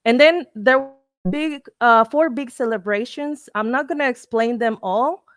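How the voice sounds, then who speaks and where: A woman from Mexico with a Mexican accent, a native Spanish speaker, giving an informal educational presentation in a halting, explanatory way, searching for words. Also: on the high side, no echo, studio quality. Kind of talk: informal educational talk with slides.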